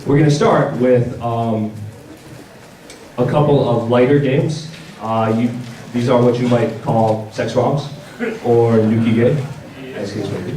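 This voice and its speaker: A man giving an informal presentation at a convention panel, speaking in a halting, stop-start way and repeating words. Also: mid-range voice, steady tone, dark tone. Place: large indoor convention panel room. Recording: echoey hall, distant mic, audience noise.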